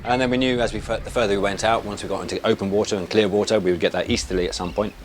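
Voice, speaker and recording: A man's voice: on the low side, fairly even intonation, racing. A man, a sailing instructor, explaining in an interview with occasional fillers.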